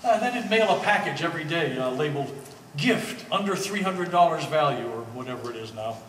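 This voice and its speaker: A man's voice: on the high side, animated, brisk, a bit bright. A man playing an easygoing old family friend in a stage play, with a wry, hesitant, joking manner. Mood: explaining, casual. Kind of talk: one-act stage play performance. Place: gallery stage performance, indoors. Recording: echoey hall, distant mic, faint noise.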